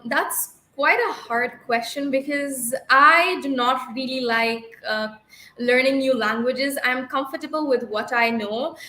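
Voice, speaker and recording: A young woman from Bangladesh answers speaking exam practice questions in a bright, somewhat high voice. She talks fluently and catches herself now and then with quick self-corrections. The sound rings with the echo of the room. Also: slight background noise. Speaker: IELTS practice candidate. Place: livestream.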